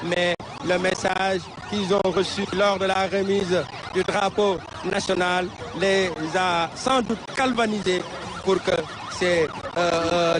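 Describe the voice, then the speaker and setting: High-voiced Senegalese man reporting over loud sirens. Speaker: TV field reporter. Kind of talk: live street commentary in mixed French and Wolof. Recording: loud street noise with sirens. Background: loud street ambience, sirens.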